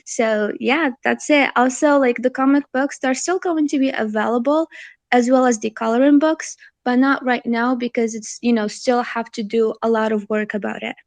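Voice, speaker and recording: A nineteen-year-old woman, a guest creator giving an informal, polite and earnest pitch for a project. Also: fairly even intonation, normal speed, no echo.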